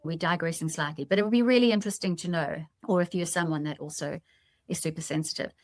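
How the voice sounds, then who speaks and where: A woman's voice: low voice, fast talker. A woman hosting a podcast in a conversational, reflective way, explaining her thoughts to listeners. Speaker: podcast host.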